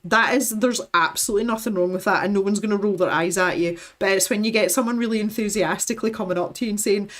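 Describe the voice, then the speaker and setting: A Scottish woman chatting casually as a livestream host, opinionated and given to lively storytelling. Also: mid-range voice, quick pace, few pauses. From west coast of Scotland. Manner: chatty, opinionated, animated storytelling with acted-out quotes. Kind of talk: casual chat with live viewers.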